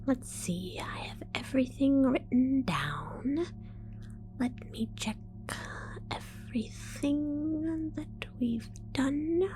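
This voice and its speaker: A woman voicing a scatterbrained female mad scientist in an ASMR roleplay, whispering softly, giggling and stammering as she falsely reassures. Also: sits high, expressive, slow pace, rough recording. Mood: sly whisper. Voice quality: soft whisper.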